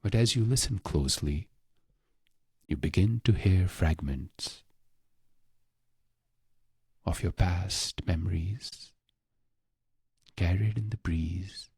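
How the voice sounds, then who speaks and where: Male meditation narrator, unhurried and very breathy, husky, close and dry sound, scripted short phrases. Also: dry recording. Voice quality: low, breathy, husky voice with creak. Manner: hushed, unhurried delivery in short phrases with long pauses. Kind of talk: slow, scripted guided meditation.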